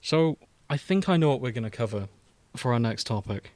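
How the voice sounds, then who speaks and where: A man's voice: mid-range voice, expressive, brisk, a little mellow, no echo. A man co-hosting a casual podcast, with a soothing voice, leading the chat with jokes. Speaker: podcast co-host.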